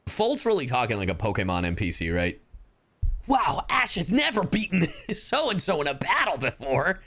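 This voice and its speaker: A man livestreaming a game, reacting casually to his chat in an excitable, slangy, foul-mouthed way. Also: on the high side, normal speed.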